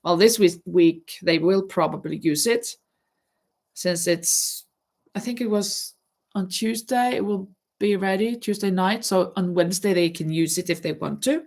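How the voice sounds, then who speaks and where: A middle-aged woman with a stuffy, nasal voice that has a gravelly edge. She talks slowly and chats easily with viewers while she works on her crafting. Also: lowish voice, a little mellow. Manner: chatty, reads viewer comments aloud, hums while working. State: stuffy nose, sounds nasal. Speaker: live-stream crafting host. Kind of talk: casual chat while crocheting.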